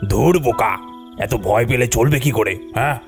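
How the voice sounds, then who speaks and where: A man's voice: medium pitch, moderate pace, lightly acted. A bold, easygoing middle-aged cartoon uncle, acted by a man, with an ordinary mid-range voice. He talks colloquially, scoffing and reassuring by turns, over faint music. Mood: dismissive, bold. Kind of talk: cartoon voice acting.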